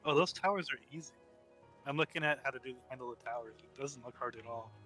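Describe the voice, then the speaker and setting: A man's voice: mid-range voice, quick pace, sharp tone, rough recording. A man in casual game voice chat who laughs easily, swears freely and owns up to his mistakes. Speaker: raid member. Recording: game music behind voice chat.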